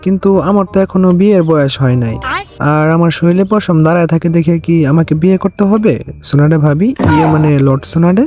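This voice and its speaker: A hapless young anime ninja, played by a man, talks fast in a slightly high voice. He is easily flustered, colloquial and full of exclamations, over clear background music in a comic parody dub. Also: close to an everyday voice. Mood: sheepish, flustered.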